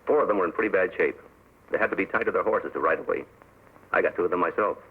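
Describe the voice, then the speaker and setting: An actor playing a gruff, grim wounded frontier scout with a husky, terse delivery in an old-time western radio drama. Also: male voice, deep voice, quick pace. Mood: weary, grim. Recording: tinny, muffled old radio recording.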